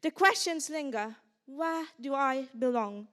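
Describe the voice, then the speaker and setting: A woman's voice: sits high, unhurried, a bit bright. A young woman reciting her own poem at a student event, earnest and stumbling slightly over words. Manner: earnest, reads with small stumbles. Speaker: student poet. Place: indoor conference stage.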